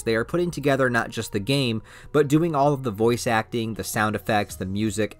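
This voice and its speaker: A man's voice: medium pitch, a bit bright. Man presenting over background music. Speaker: YouTube host presenting a project. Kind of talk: scripted promotional narration.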